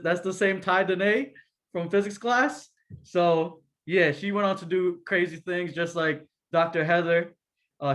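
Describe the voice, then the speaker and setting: A young man moderating a panel, introducing the guests in a chatty, informal way with joking asides. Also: medium pitch.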